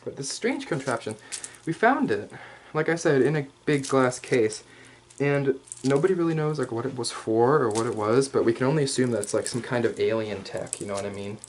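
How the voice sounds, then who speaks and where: A chatty hyena raid commander, played by a man, talks to the listener in a loose ASMR roleplay. He rambles casually in a medium-pitched voice, with slang, pauses and a playful cockiness. Noise is clearly audible behind him. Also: animated, natural voice. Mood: casual, boastful. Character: male hyena furry commander, chatty, playfully cocky raider.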